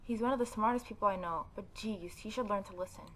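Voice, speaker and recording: A woman's voice: mid-range voice, fast, a bit bright, a little hiss or hum. A woman hosting a gaming video, reading a visual novel aloud and adding informal, opinionated commentary. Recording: background game music behind voice.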